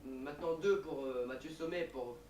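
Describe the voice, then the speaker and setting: A man's voice: medium pitch, normal speed, a little mellow. A young man from an old video, hesitant and rambling as he explains things. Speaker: YouTuber in his own old video. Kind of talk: casual vlog talk. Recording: echoey room, distant mic, faint hiss.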